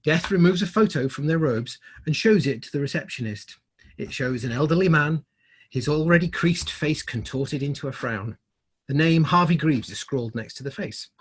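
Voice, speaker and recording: A man with a husky voice narrating a screenplay in a dramatic read-through. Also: on the high side, expressive, moderate pace. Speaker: script reader.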